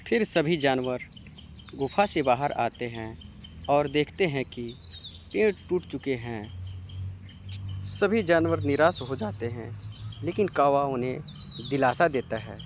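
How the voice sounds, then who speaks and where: Male narrator for a children's cartoon fable, very unhurried, mid pitch, light birdsong noise behind. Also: expressive.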